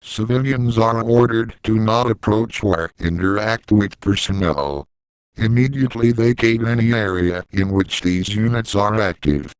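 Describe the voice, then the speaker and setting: A man reading a scripted emergency alert as an announcer, formal, detached and measured. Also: fairly low pitch, a little hiss or hum, poor audio.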